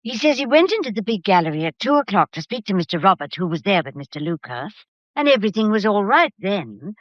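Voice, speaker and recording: An actress playing an agitated, loyal longtime secretary in a radio drama, flustered, indignant and confiding. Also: female voice, medium pitch, sing-song, fast, no room sound. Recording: dull, muffled radio play recording.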